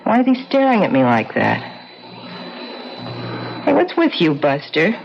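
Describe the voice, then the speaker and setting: Brash tough dame, old radio. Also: female voice, on the low side, wildly swooping pitch, unhurried, vocal fry, a little hiss or hum, heavily acted. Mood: indignant, impatient. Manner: brash, slangy, pushy. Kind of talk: 1970s radio drama acting. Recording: muffled 1970s radio broadcast recording.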